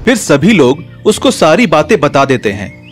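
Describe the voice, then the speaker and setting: A man's voice: fairly high pitch, very animated, normal speed, soft background music. A man narrating a scripted story as a storyteller.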